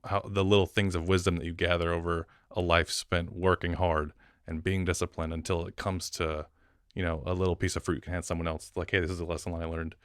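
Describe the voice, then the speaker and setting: A man's voice: low voice, little pitch movement, a little fast, a bit bright, clean tone, no room sound, clean studio sound. A man co-hosting a podcast, chatting in an informal, reflective way as he interviews. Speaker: podcast co-host. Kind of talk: casual interview chat.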